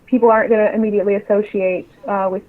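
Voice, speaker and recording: A woman's voice: medium pitch, little pitch movement, very fast. Female publisher as interview guest, casual and explanatory, phone-like narrow sound.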